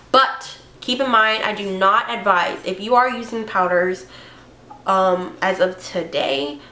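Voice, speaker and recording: A young woman with a hoarse voice from a cold, chatting casually through a makeup tutorial with fillers and self-corrections. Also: mid-range voice, a bit bright, echoey room, slight background noise. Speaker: beauty vlogger.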